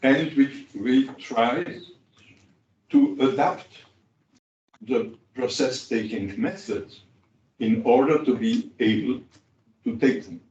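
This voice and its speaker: A man speaking as a cooperative practitioner on a panel, pausing often with fillers. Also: mid-range voice, a little mellow. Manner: halting, many pauses and fillers. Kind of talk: panel discussion remarks. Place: large conference hall. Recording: echoey hall, distant dull mic.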